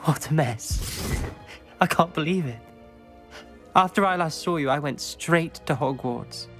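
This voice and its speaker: An actor playing a troubled young male student who speaks gravely and hesitantly in a video game. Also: a little high, unhurried, fairly bright tone, faint music behind.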